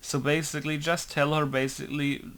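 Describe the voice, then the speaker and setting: A man's voice: on the high side, level tone, a little fast, fairly bright tone. A man who makes game mods, rambling through a casual tutorial and saying "basically" again and again. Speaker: game modder. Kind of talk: casual screen-recorded tutorial.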